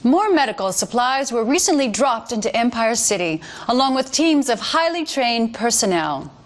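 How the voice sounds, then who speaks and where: American tv news lady for a game, kinda high voice, formal and measured, earnest govt mouthpiece. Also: big pitch swings. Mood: polished, upbeat. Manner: formal, measured, authoritative newsreader delivery. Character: polished female TV news anchor, earnest government mouthpiece. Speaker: TV news anchor. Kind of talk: scripted news broadcast narration.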